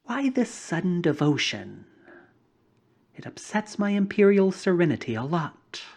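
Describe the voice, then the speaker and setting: Weary old straw emperor, slow and sighing, often breathy, swinging widely in pitch, as a woman reader plays him. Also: sing-song, a little mellow, full character voice. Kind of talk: storybook reading.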